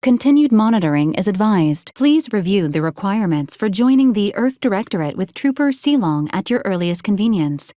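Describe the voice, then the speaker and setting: Woman voicing a deadpan robot ship assistant, very dry sound. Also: mid-range voice, fairly quick, hardly any pauses, no room sound, natural voice. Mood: politely deadpan. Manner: formal, clinical, deadpan. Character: polite, literal-minded female ship robot assistant, drily menacing. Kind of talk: scripted video game character lines, formal status reports.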